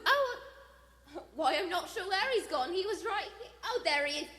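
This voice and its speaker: A woman's voice: very high voice, expressive, moderate pace. A woman playing an eager, naive, playful young daughter in a live radio play. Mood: puzzled. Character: bubbly, naive young daughter, thrill-seeking. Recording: live theater, loud music underneath.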